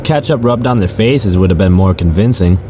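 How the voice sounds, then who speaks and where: A man's voice: low-pitched, brisk, background noise, lightly acted. A man playing a chatty, sardonic rideshare driver, telling his story in the first person for an audio drama. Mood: casual storytelling. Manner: casual, sardonic, conversational. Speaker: narrator and lead character.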